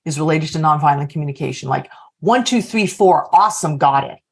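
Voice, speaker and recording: A woman's voice: low-pitched, expressive, brisk, gravelly, breathy edge. Reflective woman leading an online workshop. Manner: reflective, poses open questions to the group. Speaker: leadership coach leading a group session. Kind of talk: workshop facilitation. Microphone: video call.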